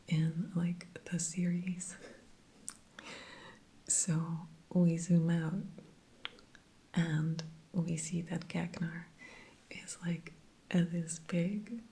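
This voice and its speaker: A woman whispering softly throughout, recapping TV episodes in a chatty ASMR style. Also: lowish voice, creaky, low-quality recording. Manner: soft unvoiced whisper throughout, chatty with fillers. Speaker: TV episode reviewer.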